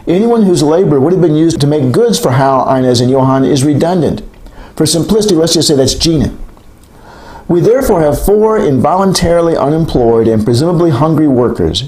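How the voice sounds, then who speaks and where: A man reading a textbook aloud as scripted narration, in a measured, clearly enunciated lecturing way. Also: on the high side, darkish tone. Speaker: author reading an audiobook chapter.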